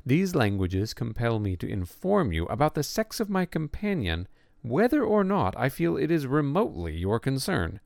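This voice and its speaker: A man reading an essay aloud as scripted narration, in a measured, lively way with pointed emphasis on words. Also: medium pitch, creaky, no room sound, clean studio sound.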